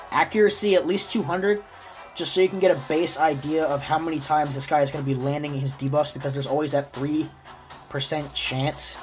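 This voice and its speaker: A man with a slightly raspy, stuffy voice from a cold, casually explaining a game character's build step by step. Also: a little high. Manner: explains step by step, relaxed and chatty. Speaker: gaming video creator. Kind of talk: casual game guide commentary.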